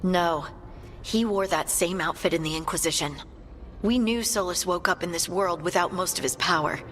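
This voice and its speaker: An actress playing a serious, matter-of-fact adventurer companion in naturalistic video game dialogue. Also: female voice, lowish voice, moderate pace, a bit bright, slight background noise, natural voice.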